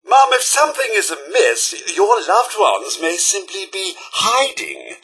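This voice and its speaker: A man's voice: high voice, wildly swooping pitch, a little slow, vocal fry, a bit bright, husky, exaggerated voice. A man with a British accent voicing a prim, fussy, loyal robot butler in a video game, theatrical and formally polite. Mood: polite, eager. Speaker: voice actor. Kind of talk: video game companion voice lines.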